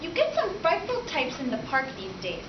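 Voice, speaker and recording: A woman's voice: sits high, huge pitch swings, normal speed, highs cut off. Woman doing snooty bossy mom reading from a storybook, far off in a noisy echoey room. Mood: haughty, disapproving. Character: snobbish, bossy middle-aged mother. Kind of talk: dramatic storybook read-aloud.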